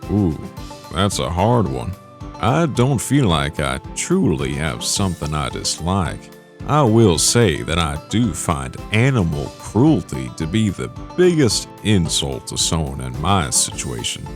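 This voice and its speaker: Man acting a deep, creaky, gentle cowboy. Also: heavily acted. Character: gentle, modest young cowboy animal tender. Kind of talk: amateur audio drama acting.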